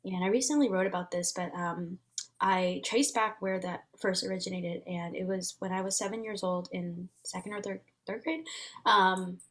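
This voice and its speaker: A woman's voice: medium pitch, fairly even intonation, moderate pace, fairly bright tone. A woman in her thirties whose first language is Vietnamese, speaking as an interview guest in an earnest, searching way with many fillers and restarts. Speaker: guest, transformation facilitator. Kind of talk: casual reflective interview talk.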